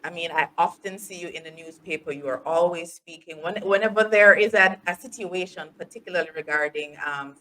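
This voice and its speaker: A woman from Jamaica, hosting a podcast interview in a measured, deliberate way. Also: fairly low pitch, fairly bright tone, a little hiss or hum, low-quality recording. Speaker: podcast host, social work educator.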